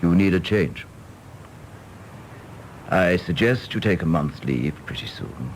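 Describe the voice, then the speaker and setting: An actor playing a courteous English diplomatic official in a radio drama, measured, polite and reassuring. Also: male voice, sits low, lively intonation, slight background noise. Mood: tactful, soothing. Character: calm, courteous senior British diplomatic colleague, tactful. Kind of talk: stage-play radio drama acting.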